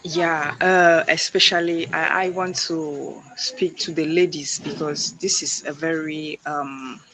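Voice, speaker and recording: A young woman from Cameroon, a guest speaker on an online panel, talking haltingly with many fillers and restarts. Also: low-pitched, fairly even intonation, a bit bright. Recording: noisy background.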